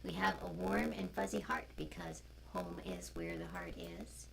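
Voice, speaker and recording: Low, creaky woman storyteller, slow and animated. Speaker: storyteller, co-host. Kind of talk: informal chat and picture-book reading aloud. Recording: noisy, distorted live-stream sound.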